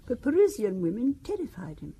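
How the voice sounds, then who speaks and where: A woman's voice: fairly high pitch, huge pitch swings, fairly quick, dark tone, breathy edge, exaggerated voice. An older woman playing a genteel, chatty French widow in an audio drama, confiding and wistful as she reminisces. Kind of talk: audio drama acting, conversational storytelling.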